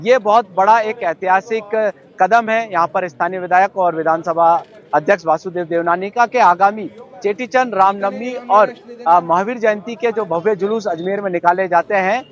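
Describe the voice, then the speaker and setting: Guy reporter live on air, high voice, kinda muffled link, formal but repeats phrases. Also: moderate pace. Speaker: news correspondent. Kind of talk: unscripted live news report. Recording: dull, band-limited live link.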